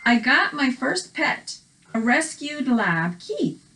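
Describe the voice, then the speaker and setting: A woman co-hosting a children's read-aloud, telling the story in an animated, reactive way and chatting casually. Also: sits high, takes it slowly, slight background noise, poor audio.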